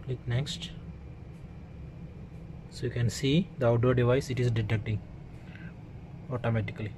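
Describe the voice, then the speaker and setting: A man's voice: medium pitch, steady tone, moderate pace. A man giving a hands-on, step-by-step tech demonstration in short, plain instructions, recorded indoors on a handheld phone. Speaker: tech tutorial presenter. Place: indoors at an equipment workbench. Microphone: handheld phone camera mic. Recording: clearly noisy room ambience on handheld recording.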